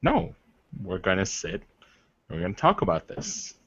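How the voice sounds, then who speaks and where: Man playing a slow-spoken, stoic warrior. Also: deep voice, lo-fi sound, a crowd in the background, voice pushed down, exaggerated voice. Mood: formal, firm. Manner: measured, formal, deadpan. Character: stoic, formal warrior mate, wryly mocking.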